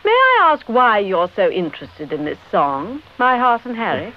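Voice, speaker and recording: An actress playing a poised, guarded nightclub owner with a brittle manner in a radio drama. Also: female voice, medium pitch, wildly swooping pitch, moderate pace. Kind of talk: British radio drama acting. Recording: dull lo-fi vintage radio recording.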